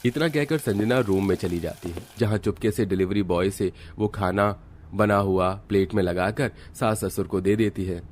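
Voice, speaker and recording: A man narrating a cartoon story in a steady, explanatory way. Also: on the low side, moderate pace, a little hiss or hum.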